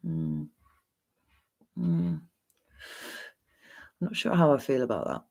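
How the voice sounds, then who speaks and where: A man's voice: a little high, drawn-out words, vocal fry, darkish tone. An English man with a neutral accent, a tarot reader chatting candidly and rambling through viewer questions on a livestream. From England. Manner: rambling, candid, casual swearing. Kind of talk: livestream Q&A chat.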